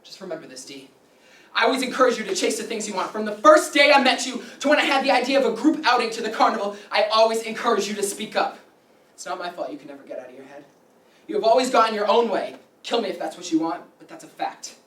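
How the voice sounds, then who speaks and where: A man's voice: high-pitched, animated, fast, fairly bright tone. Stage drama: male actor as easygoing, defensive young boyfriend, distant echoey sound. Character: defensive, easygoing young man, a rock-band fan. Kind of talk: live stage play reading. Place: echoey room, live staged reading. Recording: roomy, distant mic.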